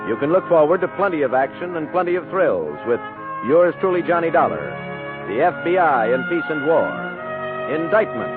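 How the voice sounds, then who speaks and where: A man's voice: a little high, sing-song, normal speed. A man with an American accent reading scripted credits and promos as a 1950s network radio announcer. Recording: tinny 1950s radio broadcast, music behind.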